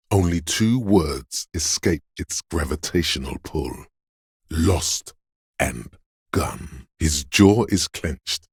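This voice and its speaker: An actor playing a menacing, paranoid inner voice of fear and aggression in a game, narrating slowly and ominously in a husky, gravelly voice. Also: male voice, a bass voice, darkish tone, no echo, studio quality, heavily acted. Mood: cold contempt. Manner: ominous, slow, deliberate delivery. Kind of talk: dramatic second-person game narration.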